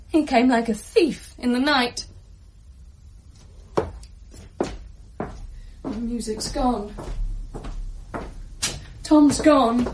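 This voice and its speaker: High-voiced, fragile despairing woman. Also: background noise. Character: fragile, abandoned, despairing woman, obsessive in love. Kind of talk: radio drama acting.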